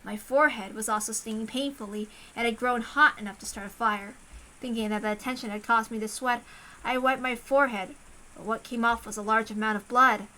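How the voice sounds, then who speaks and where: A woman's voice: fairly high pitch, fairly even intonation, fairly quick, pushed and bright, low-quality recording. A woman reading a first-person story aloud as a dramatic narration. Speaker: visual novel reader.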